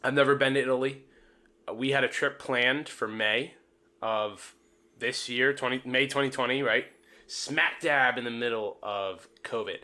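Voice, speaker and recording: A man with a bright, forward voice of average pitch talks casually on a podcast. He is wordy and likes to repeat a phrase to drive it home. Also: moderate pace. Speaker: podcast participant.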